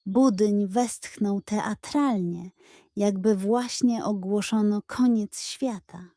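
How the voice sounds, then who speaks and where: A woman's voice: mid-range voice, takes it slowly, mellow, no echo, studio quality. A woman narrating a scripted bedtime fairy tale for children as the storyteller.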